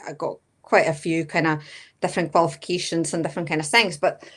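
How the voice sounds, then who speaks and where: Latvian woman, bit of scottish in the accent, lowish voice, lots of kinda and um. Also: steady tone, quick pace, a bit bright. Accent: Latvian with a Scottish tinge. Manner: hesitant, many fillers, often says kinda. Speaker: guest medium. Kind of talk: casual interview answers.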